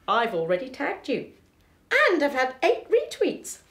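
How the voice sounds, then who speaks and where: A deadpan blunt therapist. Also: female voice, sits high, unhurried, echoey room, heavily acted. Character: blunt quirky female therapist, middle-aged, overconfident.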